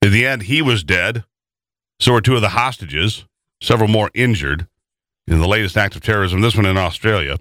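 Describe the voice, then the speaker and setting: A man's voice: lowish voice, fairly quick, fairly bright tone, no room sound, clean studio sound. A man hosting a news talk show, speaking indignantly and stumbling over his words.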